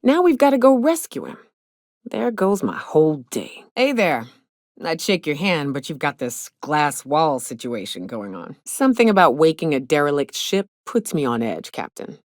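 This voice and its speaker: An actress playing a gruff, hard-drinking, gun-toting female spaceship crew companion in a video game, with a blunt, folksy manner. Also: low-pitched, big pitch swings, normal speed, dry recording, studio quality. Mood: sardonic, blunt. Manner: blunt, folksy, sardonic, swearing. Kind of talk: video game character dialogue.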